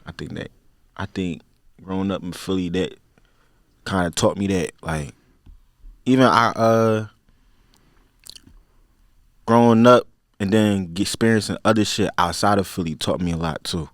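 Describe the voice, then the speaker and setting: A young man in his twenties speaks with a Philadelphia accent in a fairly low voice. He is a podcast guest who talks in a loose, rambling way, full of slang and fillers. The sound is dry and close, with no room echo. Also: a little slow, pauses often. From Philadelphia, USA. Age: 20s. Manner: laid-back, slang-heavy, rambling with many fillers. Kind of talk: casual interview chat.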